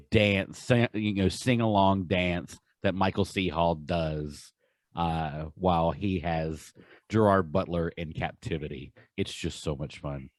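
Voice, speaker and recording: A man with a fairly low voice talks casually as a podcast co-host. He is enthusiastic and leans hard on his favorite words. The sound is very dry, with no room around it. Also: no room sound. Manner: enthusiastic, emphatic.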